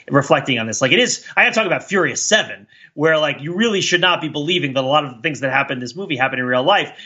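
A man's voice: mid-range voice, pushed and bright, dull audio. A man co-hosting a podcast, talking through pop-culture ideas in a casual, analytical way, discursive and hedging as he thinks aloud. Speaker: podcast co-host.

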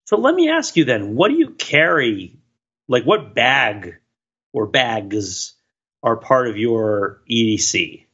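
A man's voice: medium pitch, big pitch swings, slow, a bit bright, husky. A man co-hosting a podcast in casual, conversational discussion, on a slightly lo-fi recording. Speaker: podcast co-host.

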